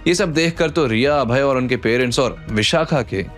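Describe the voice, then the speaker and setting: Male narrator for an audio drama series, mid-pitched, suspenseful and emphatic, music bed underneath. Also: normal speed. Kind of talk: dramatic scripted Hindi story narration, voicing the characters.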